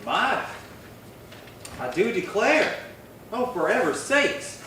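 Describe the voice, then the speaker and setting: An actor playing a simple-hearted, excitable western sidekick, folksy and earnest, in an old-time radio drama style. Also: male voice, high-pitched, slow pace, full character voice. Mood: proud, marveling. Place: little theatre stage, distant mic. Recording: very echoey hall, far from mic, faint noise.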